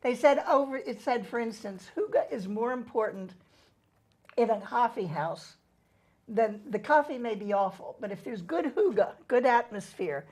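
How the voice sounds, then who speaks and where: A woman's voice: fairly high pitch, lively intonation, unhurried, a little mellow, breathy edge. A middle-aged woman co-hosting a casual group chat, explaining things at length with frequent ums. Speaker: co-host.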